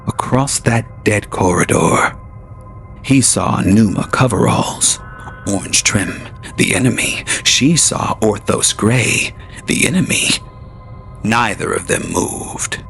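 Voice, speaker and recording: Man reading a space story slow, lots of pitch movement, soft music behind. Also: lively intonation, vocal fry. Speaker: narrator. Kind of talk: scripted audio drama narration.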